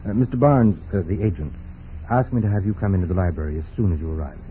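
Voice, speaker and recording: An actor playing a polite, deferential private secretary in a 1940s radio drama. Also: male voice, sits low, quick pace, dark tone, close to an everyday voice. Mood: polite, apologetic. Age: 30s. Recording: muffled, noisy 1940s radio recording.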